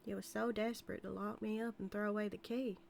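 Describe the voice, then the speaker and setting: A woman's voice: medium pitch, little pitch movement, fast, creaky, a little mellow, natural voice. Woman acting a teasing Southern outlaw cowgirl, noisy recording. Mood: teasing, sly. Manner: drawling, teasing, folksy. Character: reformed outlaw cowgirl, teasing, dominant, dangerously infatuated. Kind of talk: scripted audio roleplay, intimate character acting.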